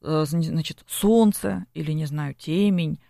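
A woman's voice: deep voice, measured pace, gravelly, mellow, dry recording, studio quality. A woman speaking as a psychologist guest in a broadcast interview, explaining at length like a lecturer and often checking in with short tag questions.

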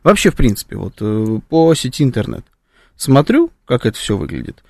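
Male radio co-host, lively pitch, halting and wry delivery, dry studio sound. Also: gravelly, no echo. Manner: hesitant, wry, many fillers and restarts. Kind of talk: live radio talk.

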